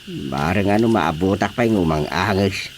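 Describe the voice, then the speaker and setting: An easygoing village husband and father in an Ilocano radio drama, played by a man. His voice sits fairly low, with faint noise in the background. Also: moderate pace, natural voice. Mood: unhurried.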